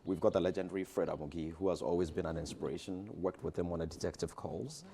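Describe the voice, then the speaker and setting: A man with a deep voice and a Ghanaian accent talks in a promotional interview. He is emphatic and likes to repeat a phrase to drive it home. Faint noise sits behind him. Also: steady tone, fast talker, warm tone. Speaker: guest, stage play director.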